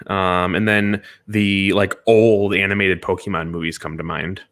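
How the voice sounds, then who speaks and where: A man's voice: deep voice, flat delivery, moderate pace, fairly bright tone. A man co-hosting a casual group discussion, offering opinions and qualifying them as he goes. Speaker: podcast co-host.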